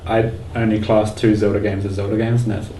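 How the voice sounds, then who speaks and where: Fast-talking man hosting in an echoey room. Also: fairly low pitch, little pitch movement. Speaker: podcast host. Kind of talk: casual panel chat. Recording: echoey room, distant mic, faint noise.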